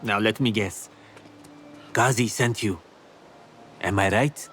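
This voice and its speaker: An actor playing a grave, wise scholar of an ancient desert people in a fantasy game, speaking in a measured, solemn and formal way over music. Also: male voice, lowish voice, a little hiss or hum. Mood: knowing, urgent. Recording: loud music behind the voice.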